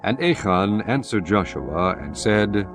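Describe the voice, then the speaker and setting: A man narrating scripture in a measured, solemn, formal way. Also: on the low side, lively intonation, over music.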